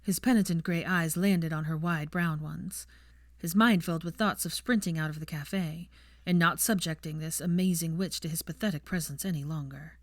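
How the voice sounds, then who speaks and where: A woman's voice: low-pitched, normal speed, dry recording, clean studio sound. A woman reading fiction aloud as scripted audiobook-style narration.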